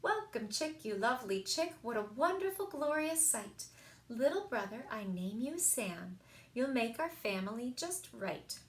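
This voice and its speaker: A plucky young girl penguin from a storybook, acted out by a woman reader. The voice is high and emphatic, excitable in temper, and rings in an echoey room. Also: a little slow. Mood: joyful.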